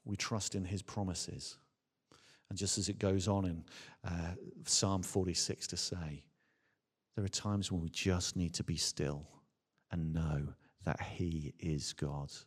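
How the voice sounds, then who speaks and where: Deep-voiced preacher in a reverberant hall. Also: male voice, fairly even intonation, husky. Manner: earnest, emphatic, with rhetorical questions and deliberate repetition. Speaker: Baptist minister preaching. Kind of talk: sermon to a congregation. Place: large church or hall, speaking from a stage. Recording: reverberant hall with long echo.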